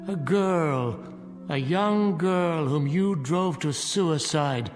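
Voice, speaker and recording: An actor playing a dignified, judgmental clergyman in a radio drama, with a formal, measured, reproving delivery. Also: fairly high pitch. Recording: reverberant radio drama with music underneath.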